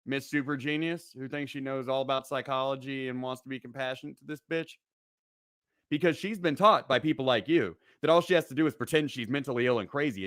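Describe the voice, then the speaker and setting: A man's voice: on the high side, no echo, studio quality. A man giving an angry commentary rant in a scornful, sarcastic, profanity-laced manner.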